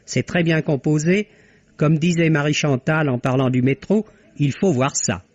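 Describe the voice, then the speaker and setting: A man reading a scripted film review on an old radio broadcast, in a measured, articulate way. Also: fairly high pitch, darkish tone, dry recording. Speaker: film critic. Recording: dull lo-fi archive radio recording.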